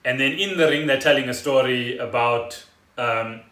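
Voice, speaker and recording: A man co-hosting a casual podcast, explaining things in an animated, storytelling way. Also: mid-range voice, normal speed. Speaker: podcast co-host. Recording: roomy echo, faint music behind.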